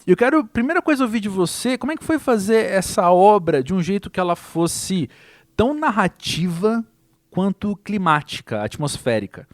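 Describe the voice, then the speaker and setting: A man's voice: high voice, sing-song, moderate pace, dry recording, clean studio sound. A man hosting a music podcast, introducing it and asking a guest questions in an enthusiastic, conversational way. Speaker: podcast host. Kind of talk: podcast interview.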